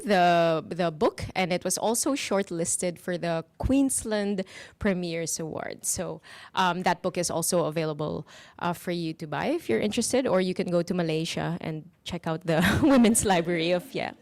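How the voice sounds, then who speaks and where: Woman, event host, chatty, laughing, slightly low voice, dry sound. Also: animated, moderate pace, dry recording. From Philippines. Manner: chatty, laughs often, many fillers. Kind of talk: casual live introductions.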